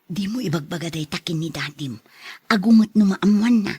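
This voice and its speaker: A woman's voice: fairly low pitch, expressive, normal speed, a little mellow, breathy edge. An actress playing a patient, worried wife and mother in a radio drama, speaking with an Ilocano accent. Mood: cautious. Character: patient, worried housewife and mother, respectful, careful.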